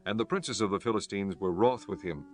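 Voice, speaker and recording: A man giving measured, formal narration for a scripture audiobook. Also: lowish voice, soft background music.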